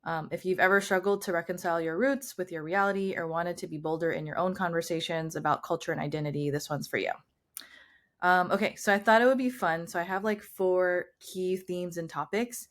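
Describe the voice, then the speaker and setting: A woman's voice: lowish voice, fairly even intonation, brisk. A woman from Southern California hosting an interview, reading a short intro and then chatting with many "um"s and "like"s. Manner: friendly, filler-heavy with "um" and "like". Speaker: podcast host.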